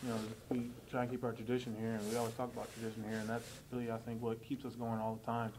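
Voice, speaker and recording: A man's voice: medium pitch, monotone, fast talker. A man of about twenty, a college football player answering at a post-game press conference in a noisy room. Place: indoor press room, seated before a banner.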